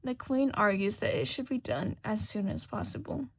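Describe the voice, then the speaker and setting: Woman, podfic narration, husky, lively intonation, dramatic, sometimes hushed. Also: medium pitch, normal speed. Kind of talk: dramatic fan fiction narration.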